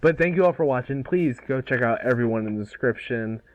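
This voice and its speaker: A man's voice: mid-range voice, animated, fairly quick, no echo, dull audio. A man chatting casually as the host of an online table read. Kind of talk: casual chat.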